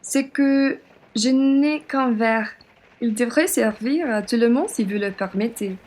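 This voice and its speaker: A woman's voice: on the high side, takes it slowly, a little mellow. A woman playing a generous young woman traveller in a French radio play, courteous and deferential in manner. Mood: obliging.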